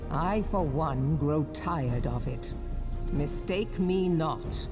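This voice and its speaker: A woman playing a stern, proud and weary military leader, speaking firmly in formal oratory. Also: fairly low pitch. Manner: firm, deliberate, formal oratory.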